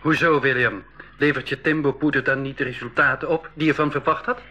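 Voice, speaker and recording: Expedition narrator, slightly rough husky voice, inquisitive, medium pitch, scripted radio play. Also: male voice, fairly even intonation, a little fast, pushed and bright, muffled recording. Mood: questioning. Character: inquisitive male expedition member recounting the adventure.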